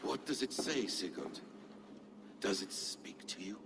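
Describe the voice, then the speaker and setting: An actor playing a calm, watchful counsellor in a game cutscene, speaking in a quiet, restrained way. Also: male voice, lowish voice, measured pace, gravelly, background noise, exaggerated voice. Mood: calm, intent. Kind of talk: video game cutscene acting.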